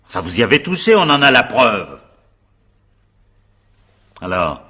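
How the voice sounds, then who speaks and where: An actor playing a stern, methodical examining magistrate in a radio drama, questioning insistently with weighty pauses. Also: male voice, mid-range voice, very animated, sharp tone, slightly rough, exaggerated voice. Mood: insistent, accusing. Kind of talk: French radio drama acting.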